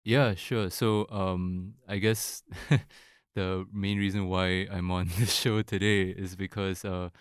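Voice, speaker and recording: Deep-voiced chatty man, laughing easily. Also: level tone, slow, smooth, no room sound, clean studio sound. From Singapore. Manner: chatty and laughing, with many likes and restarts. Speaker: podcast guest. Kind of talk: casual podcast conversation.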